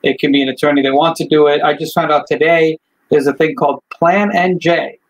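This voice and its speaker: Fairly high-voiced attorney explaining plainly. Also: male voice, fairly even intonation, a little fast, dull audio. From Chile. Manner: thinks aloud, lots of "like" and "you know", frank. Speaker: estate attorney. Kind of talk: casual explanatory chat. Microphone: video call.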